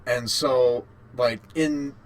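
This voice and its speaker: A man talks as a podcast co-host in a casual, fannish way. He takes his time, rambling through explanations with plenty of pauses. His voice is mid-pitched. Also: steady tone, poor audio. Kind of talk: casual, enthusiastic fan chat.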